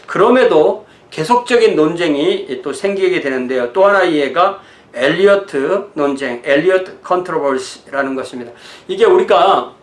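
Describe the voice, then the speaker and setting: A man, a pastor giving an informal, conversational lecture in an explanatory way. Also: a little high. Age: 60s. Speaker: pastor teaching church history. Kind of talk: informal lecture mixing notes and asides. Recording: roomy, echoing sound.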